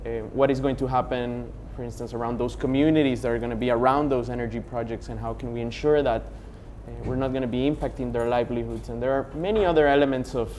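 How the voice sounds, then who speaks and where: A man's voice: medium pitch, steady tone, quick pace, hardly any pauses, darkish tone, a little hiss or hum. Earnest young male panelist. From Honduras. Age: young adult. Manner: earnest, building points with for instance. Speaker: panelist, youth organization founder. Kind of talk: panel discussion.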